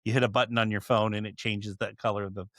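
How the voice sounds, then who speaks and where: A man's voice: lowish voice, level tone, fast, fairly bright tone, dry recording, clean studio sound. A middle-aged man hosting a podcast, rambling with stammers and fillers. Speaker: podcast host. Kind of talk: casual interview chat.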